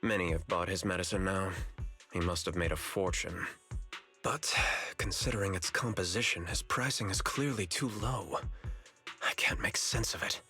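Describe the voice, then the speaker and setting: A man's voice: deep voice, moderate pace, bright, forceful tone, faint music behind. An actor playing a suspicious, self-justifying businessman in a video game, speaking formally and emphatically. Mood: guarded suspicion. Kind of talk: video game story dialogue acting.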